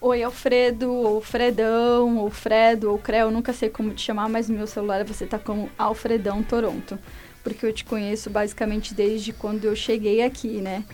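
A woman's voice: fairly high pitch, flat delivery, moderate pace, a little mellow, faint music behind. A woman from São Paulo with a Brazilian Portuguese accent, a guest telling her own story in a casual, conversational way.

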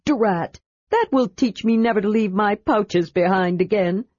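A woman voicing a cheerful, chatty young male kender, eager and quick to take offense, in a storybook reading. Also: medium pitch, husky, dry recording. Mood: indignant. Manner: eager, indignant, excitable. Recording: dull lo-fi recording.

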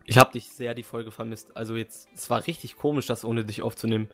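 A young man co-hosting a podcast in casual chat, easygoing, with halting restarts. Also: mid-range voice, little pitch movement, soft background music. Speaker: podcast co-host.

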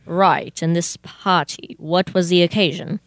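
Young woman as male detective, businesslike, deadpan, exasperated, radio theater comedy, dry recording. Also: fairly low pitch, unhurried, no echo. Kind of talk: comic old-time radio theater acting.

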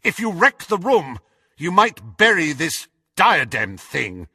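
A man's voice: medium pitch, sing-song, unhurried, bright, forceful tone, slightly rough, no echo, studio quality, full character voice. A man voicing a haughty, impatient teenage schoolboy with a brittle, tense manner in an audiobook.

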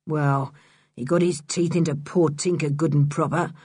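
A woman voicing a gruff, scornful middle-aged man with a hoarse voice in a storybook reading. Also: deep voice, fairly even intonation, normal speed, darkish tone, dry recording, studio quality, pitched below the performer's own voice. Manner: scornful, mocking.

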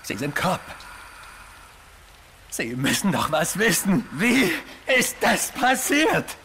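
An actor playing a gruff, desperate middle-aged father in an audio drama, emotional and halting as he guards his sick child. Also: sits high, very animated, bright, forceful tone, a little hiss or hum, heavily acted. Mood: anguished, pleading. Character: desperate, grieving gun shop father guarding his infected daughter.

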